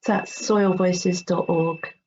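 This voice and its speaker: A woman's voice: lowish voice, steady tone, slow, a little mellow, breathy edge. A woman speaking as a guest in an informal online discussion, thoughtful and working out her ideas aloud. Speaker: guest presenter, teacher. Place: online video call. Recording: dull, noisy online call with clicks.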